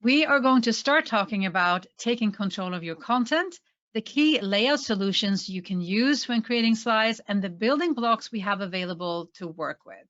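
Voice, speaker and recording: A woman's voice: mid-range voice, animated, moderate pace, fairly bright tone, muffled recording. A woman from Sweden with a Swedish accent, giving an enthusiastic, friendly webinar presentation as a trainer.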